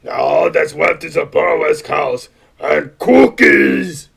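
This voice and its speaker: A man playing a gruff, world-weary policeman in a comic radio play, with a gravelly, husky voice and a blunt, dry manner. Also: on the high side, animated, slow, a bit bright, exaggerated voice.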